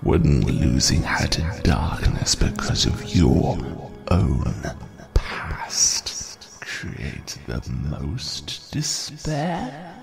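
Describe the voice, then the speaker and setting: Whispered dark spirit, very deep and slow, taunting. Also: male voice, wildly swooping pitch, creaky, exaggerated voice. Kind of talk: fan audio drama acting. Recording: music underneath.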